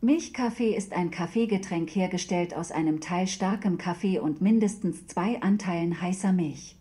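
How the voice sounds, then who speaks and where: A woman voicing a polite, emotionless smart-home assistant with neutral, precise, measured delivery in a radio play. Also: lowish voice, mellow, natural voice. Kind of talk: scripted radio play, formal answers.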